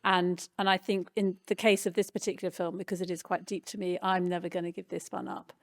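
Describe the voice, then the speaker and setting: A woman's voice: lowish voice, level tone, brisk, dry recording, clean studio sound. A woman answering interview questions in an earnest, reflective way. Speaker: film director and writer.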